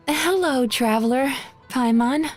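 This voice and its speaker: A woman playing a gentle, weary young female psychologist in an anime-style game, soft and composed in her earnest delivery. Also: high-pitched. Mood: weary greeting.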